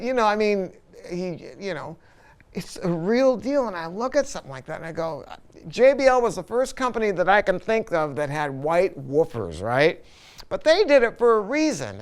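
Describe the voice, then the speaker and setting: A man's voice: on the high side, clean tone. A man talking casually and enthusiastically as he presents a product review, informal and full of opinions.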